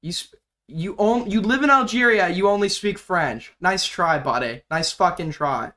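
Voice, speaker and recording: A man hosts a casual livestream and argues bluntly with callers, throwing in sarcastic jabs. His voice is bright and forward and sits a little high for a man. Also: normal speed. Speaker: livestream host. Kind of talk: casual livestream commentary and call-in debate.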